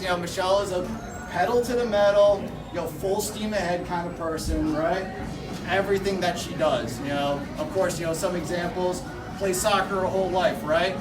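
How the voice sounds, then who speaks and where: A man in his thirties giving a rambling, joking rehearsal dinner toast on a handheld microphone, full of "you know" fillers. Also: high voice. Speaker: best-man-style speaker, bride's older brother. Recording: echoey room, voice from far off. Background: loud music and crowd.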